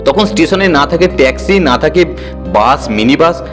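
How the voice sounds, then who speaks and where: A man narrating a horror story in the first person with an expressive, dramatic storytelling delivery. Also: on the high side, normal speed. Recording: music bed under the voice. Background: music.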